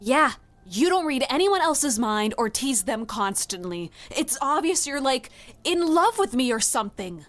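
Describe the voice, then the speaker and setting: A woman voicing a prickly, defiant young female healer in a dark fantasy audiobook, snappish and scornful. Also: high-pitched, big pitch swings, unhurried, heavily acted. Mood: annoyed.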